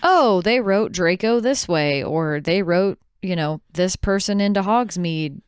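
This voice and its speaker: A woman's voice: fairly low pitch, animated, unhurried, clean tone, no echo, studio quality. A woman co-hosting a podcast in casual, chatty conversation, reacting readily to what she hears. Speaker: podcast co-host. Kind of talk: casual chat.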